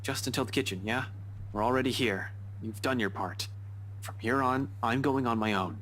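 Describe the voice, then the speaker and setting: A man's voice: mid-range voice, normal speed, fairly bright tone, a little hiss or hum, close to an everyday voice. A man playing a determined police detective in a visual novel game, speaking firmly and bluntly with protective urgency.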